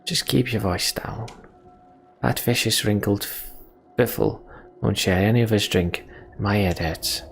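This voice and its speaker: Man putting on a gravelly, grumbling hard-drinking rogue. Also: on the low side, a little slow, soft background music. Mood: grumbling, sour. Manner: colloquial, sardonic, grumbling. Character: hard-drinking, grumbling, roguish male axe-thrower.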